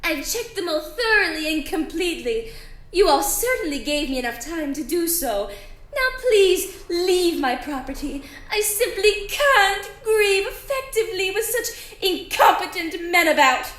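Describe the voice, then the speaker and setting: A woman's voice: very high-pitched, sing-song, fairly bright tone, exaggerated voice. A woman playing a haughty, cutting lady investigator in a radio drama, with grandiose, disdainful delivery. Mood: haughty, indignant. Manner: grandiose, disdainful, drawn-out emphasis. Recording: roomy echo, clear background noise.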